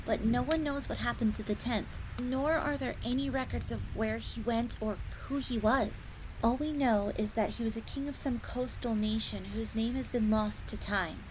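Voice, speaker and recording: A woman's voice: on the high side, noisy background, lightly acted. A woman voicing a young, polite and eager fox maid who tells old lore in a roleplay audio drama. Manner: refers to herself in third person, explanatory.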